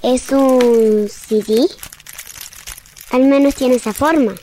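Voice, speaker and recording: A woman's voice: sits high, fairly even intonation, slow, warm tone, slight background noise. A woman playing a serious, disillusioned seven-year-old daughter in a radio drama, speaking earnestly and wistfully. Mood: curious, polite.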